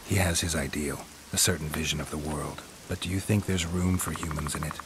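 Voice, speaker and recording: A world-weary monster hunter in a video game. The voice is extremely deep and gruff, delivered in a terse deadpan. Rain and ambient noise run clearly underneath. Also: male voice, brisk. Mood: dry, brooding. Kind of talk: video game dramatic dialogue.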